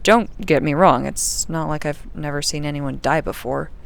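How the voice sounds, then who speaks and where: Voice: mid-range voice, normal speed, creaky, natural voice. An actor playing a sardonic, uneasy young crew member recording an audio diary in a casual, rambling, sarcastic way. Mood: uneasy, subdued. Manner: wry, sarcastic, informal, rambling. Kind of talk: audio drama diary monologue, casual and conversational.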